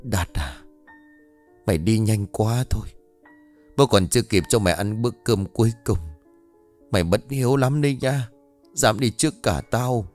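A man voicing a shaken, grave older father in a horror story, speaking in a low, subdued way. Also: moderate pace, long pauses, darkish tone, faint music behind, lightly acted. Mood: mournful reproach.

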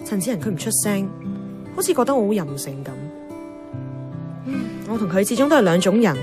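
A woman's voice: mid-range voice, big pitch swings, fairly quick, close to an everyday voice. An actress playing an outspoken young woman in a Hong Kong Cantonese radio drama, blunt and teasing, moving between dialogue and inner narration. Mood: musing, probing. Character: outspoken, headstrong young woman, a radio host chasing her dream. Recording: music under the voice.

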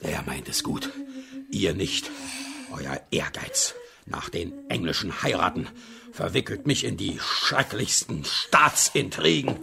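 A man's voice: on the low side, huge pitch swings, slow pace, gravelly, crowd noise, full character voice. An actor playing a tyrannical, self-pitying king who blusters from scolding to shrieking in a radio play. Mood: self-pitying, bitter.

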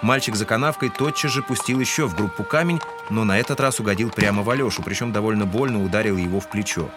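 A man's voice: fairly low pitch, fast. A man giving scripted literary narration in an audio drama. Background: music.

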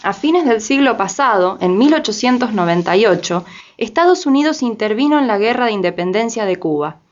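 A woman's voice: on the high side, lively intonation, fairly quick, natural voice. A woman delivering scripted historical narration as the narrator of a radio drama. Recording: dull lo-fi audio.

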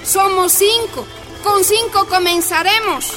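An actress playing a determined, impatient miners' activist in a radio drama, insistent and declamatory, with a Bolivian accent. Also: female voice, extremely high pitch, slow pace, sharp tone, soft background music, heavily acted. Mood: firm, commanding.

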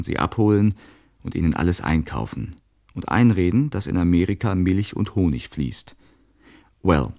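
A man's voice: low voice, mellow. An actor playing a young emigrant man, telling his story matter-of-factly as a radio drama letter.

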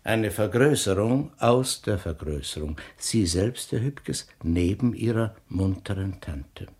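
Police inspector, husky, sardonic, suspicious, deliberate insinuating questions, 1960s German radio thriller. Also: male voice, fairly low pitch, lively intonation, a little mellow, heavily acted. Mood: probing, dry. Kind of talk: 1960s German radio crime drama acting.

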